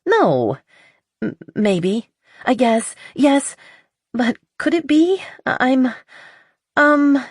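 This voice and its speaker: A woman voicing an anxious, evasive young romantic heroine in an audiobook, halting and pleading. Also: sits high, sing-song, breathy edge, no echo, clean studio sound, voice pushed up, heavily acted. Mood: flustered.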